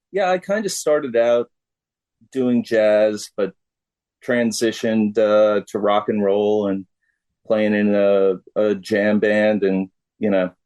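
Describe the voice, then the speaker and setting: Slow-talking middle-aged man, lowish voice. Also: darkish tone. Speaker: interview guest, drummer. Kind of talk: casual interview talk.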